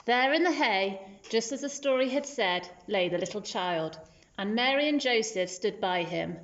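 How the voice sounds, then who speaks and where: A woman's voice: mid-range voice, lively intonation, moderate pace, fairly bright tone, muffled recording. A woman teacher reading a children's storybook aloud as scripted narration.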